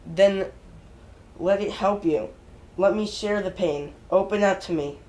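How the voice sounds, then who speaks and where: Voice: medium pitch, unhurried, a little mellow, close to an everyday voice. A performer in a dramatic reading playing a concerned, loyal companion with an earnest, plain delivery. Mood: resolute, reassuring. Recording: noisy room ambience.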